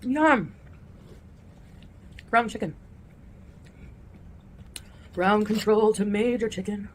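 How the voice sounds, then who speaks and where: A woman's voice: medium pitch, drawn-out words, slight background noise. A woman vlogging casually about her food, talking between mouthfuls with little murmurs of relish. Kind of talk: casual mukbang vlog chat.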